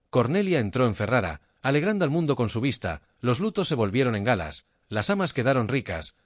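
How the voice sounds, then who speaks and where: A man narrating a classic novella in an expressive, measured reading. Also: medium pitch, dry recording, clean studio sound.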